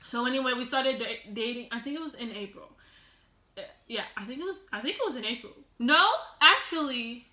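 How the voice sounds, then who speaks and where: A teenage girl vlogging at home, telling a rambling storytime with loud laughs and many restarts. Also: a little high, echoey room. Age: teens. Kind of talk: casual storytime vlog. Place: at home, sitting on the floor.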